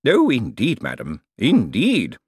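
A sly, fussy old lawyer with a lifted, lilting voice that leaps about, as a male narrator puts him on. He stretches his words slowly and with a knowing wryness. The sound is close and dry. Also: mid-range voice, wildly swooping pitch, slightly rough, dry recording, voice pushed up, full character voice. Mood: ingratiating. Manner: sly, drawn-out, conspiratorial. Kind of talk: audiobook character voice.

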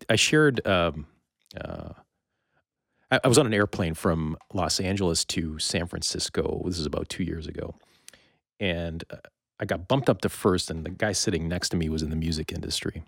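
A man's voice: low voice, gravelly, dry recording, clean studio sound. A North American man hosting a casual interview, chatting informally and telling anecdotes. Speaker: podcast host interviewing a guest.

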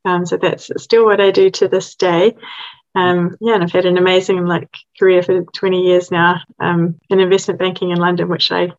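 A woman's voice: lowish voice, little pitch movement, moderate pace, lo-fi sound. A woman, a lawyer chatting casually as a podcast guest, with lots of likes and ums. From New Zealand. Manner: chatty, frequent likes and ums, laughs easily. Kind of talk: casual interview chat.

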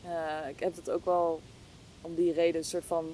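Woman thinking out loud in a casual chat, kinda hesitant, mellow voice, rumbly noise behind her. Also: little pitch movement, normal speed. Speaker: interview guest, podcast hostess. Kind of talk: casual, reflective conversation. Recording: clear low rumbling background noise.

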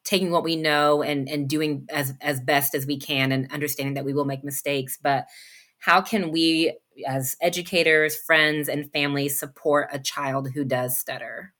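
A reflective woman host and parent who thinks aloud with plenty of fillers. Her voice is very low in pitch. It still has a bright, forceful edge. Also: steady tone, moderate pace. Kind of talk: conversational interview.

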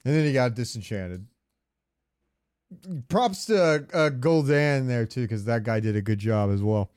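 A man hosting a podcast, giving casual fan commentary in a smooth, clear voice. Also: mid-range voice, expressive, unhurried, a little mellow. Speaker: podcast host.

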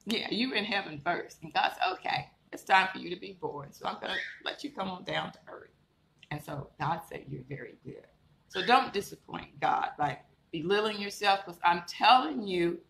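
A woman's voice: fairly low pitch, vocal fry, bright, forceful tone. A woman minister giving a live-streamed sermon, encouraging and repetitive, building up to emphatic declarations. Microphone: live stream setup. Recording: echoey room with faint background noise.

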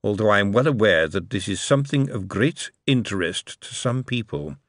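A man voicing a measured, aloof male ruler who speaks deliberately. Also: lowish voice, lively intonation, no echo, studio quality, close to an everyday voice. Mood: cool.

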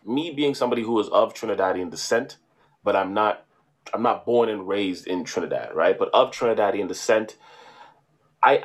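A man co-hosting a casual chat, explaining at length and often adding "right?". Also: on the low side. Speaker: podcast co-host.

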